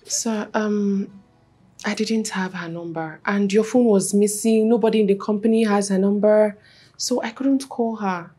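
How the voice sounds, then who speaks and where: A woman's voice: medium pitch, fairly even intonation, a little slow, warm tone, breathy edge, soft background music. A woman playing a lovesick employee who reproaches her married boss, pleading and tearful by turns. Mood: apologetic. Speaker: office assistant.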